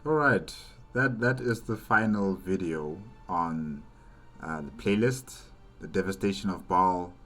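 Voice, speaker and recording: A man hosting a reaction video, commenting casually and haltingly, repeating phrases and asking rhetorical questions. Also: deep voice, a bit bright.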